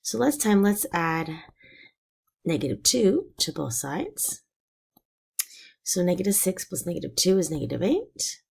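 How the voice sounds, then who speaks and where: A woman teacher walks through a math lesson patiently, one step at a time. Her voice is low, raspy and gravelly from a cold. Her pitch still moves up and down a lot as she explains. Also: a little mellow. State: hoarse from illness.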